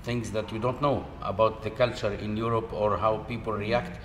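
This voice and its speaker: A middle-aged man answering interview questions in halting, non-native English, pausing often with "uh" fillers. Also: fairly low pitch, steady tone, a bit bright. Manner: hesitant, halting, with frequent "uh" fillers. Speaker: interview guest. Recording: echoey hall with background noise.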